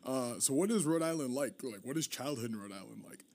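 A man's voice: medium pitch, animated, fairly quick, darkish tone. An American man from Massachusetts hosting a casual interview, asking chatty, rambling, informal questions. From Massachusetts, United States. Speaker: podcast host.

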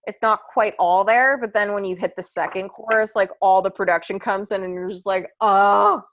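Chatty young woman with a low voice. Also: fairly even intonation, moderate pace, a bit bright. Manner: rambling, many fillers and restarts, swears casually. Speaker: podcast co-host. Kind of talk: casual music chat.

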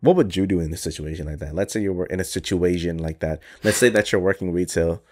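A young man co-hosting a casual chat, animated and jokey, swearing and laughing often. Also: sits low, fast. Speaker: podcast co-host.

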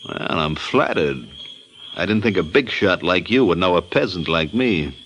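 An actor playing a calm, sardonic hitman in a 1950s radio drama. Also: sits low, moderate pace, dull audio. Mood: menacing. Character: cool menacing male hitman, 1950s gangster. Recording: old radio broadcast with hiss.

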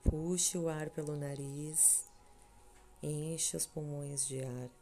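A woman with a Brazilian accent leading a scripted guided meditation in a soothing, measured way, with long pauses between short phrases. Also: deep voice, steady tone, mellow. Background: background music.

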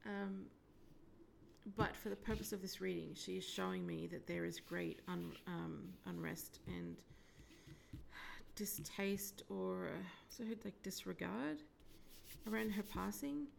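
A woman with a croaky voice, giving a hesitant psychic reading full of ums and whispered asides. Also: fairly low pitch, measured pace, slight background noise. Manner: hesitant, filler-heavy, drifting into whispered asides. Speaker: psychic medium. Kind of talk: solo psychic reading monologue.

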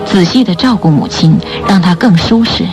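A woman's voice: lowish voice, normal speed. A woman narrating a radio drama in a scripted, dramatic storytelling style. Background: background music.

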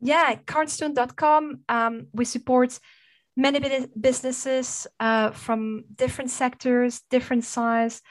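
A woman's voice: high voice, fairly even intonation. Belgian-French-accented woman guest. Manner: measured, with frequent fillers. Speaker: guest, sustainability consultant. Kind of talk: conversational interview.

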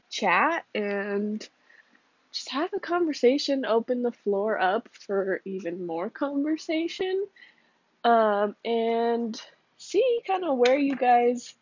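A young woman with a cold talks slowly and casually, drifting from thought to thought with plenty of fillers. Her voice sounds a little muffled and dull. The room around her sounds close and dry. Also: on the high side, no echo. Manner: rambling, casual, laughs often, says um and like. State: sick with a cold and cough. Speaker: podcast host. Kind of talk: casual solo podcast chat. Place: home room with a fan.

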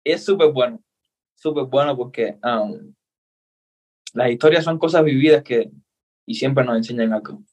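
A man's voice: medium pitch, normal speed, long pauses, a little mellow. A man from Cuba with a Cuban accent, a podcast guest talking earnestly and repeating himself for emphasis. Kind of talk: casual interview.

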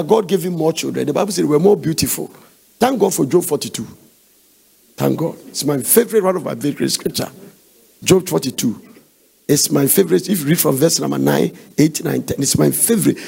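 A Ghanaian man preaches in a very gravelly, husky voice pitched fairly high. He is emphatic, repeating phrases for weight and slipping into conversational asides and questions to his listeners. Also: darkish tone. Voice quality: raspy, gravelly. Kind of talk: sermon with questions to the congregation.

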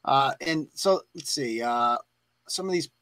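A middle-aged man hosting a live talk show, chatting casually and reading posts aloud with animated laughs. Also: mid-range voice, measured pace, no echo. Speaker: host.

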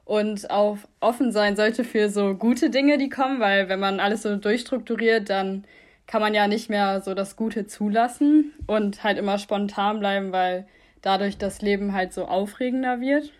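A woman's voice: mid-range voice, normal speed. A twenty-year-old woman, a podcast guest, talking earnestly and reflectively in casual chat. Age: 20s.

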